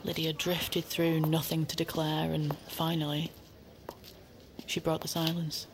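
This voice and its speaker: A woman's voice: low-pitched, little pitch movement, a little slow, noisy background. An actress playing a sly, blithe companion who narrates a woman's life in a composed way in audio fiction. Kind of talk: scripted first-person narration in audio fiction. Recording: music under the voice.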